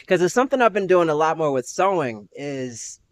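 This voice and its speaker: A man's voice: a little high, big pitch swings, moderate pace. A young man hosting a podcast interview, enthusiastic and affirming, tossing in "heck yeah" often. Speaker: podcast host. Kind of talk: casual interview.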